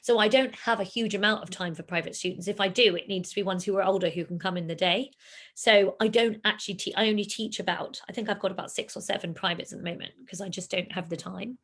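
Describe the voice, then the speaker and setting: A woman, a singing teacher, talking in a relaxed interview and acting out her pupils' lines. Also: mid-range voice, fast talker. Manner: animated, acts out pupils in quoted voices. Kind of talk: casual interview chat.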